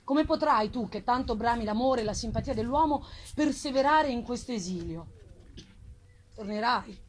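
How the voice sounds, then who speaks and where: A woman's voice: a little high, expressive, normal speed, natural voice. A woman voicing a tormented, defiant male scientist with solemn, emphatic delivery in a gothic novel reading. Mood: distrustful, firm. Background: live plucked guitar music.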